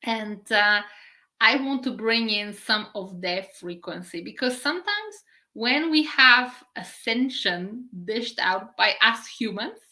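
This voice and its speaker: A middle-aged woman from Poland gives a spiritual live-stream talk. Her voice is bright and forward, and she stretches out key words slowly. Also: a little high, expressive. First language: Polish. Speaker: spiritual teacher.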